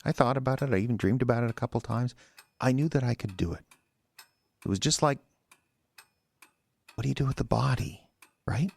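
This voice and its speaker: An audio drama killer, a husband making his confession. He rambles and stammers, defensive and agitated, with a creaky, gravelly edge to his voice. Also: mid-range voice, brisk, pauses often, a little mellow. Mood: brooding.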